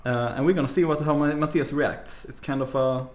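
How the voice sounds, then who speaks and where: A man's voice: medium pitch, fairly even intonation, a little fast. A man with a Swedish accent, a guest on a live coding stream, chatting casually with a dry, understated humor. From Sweden. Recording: echoey room.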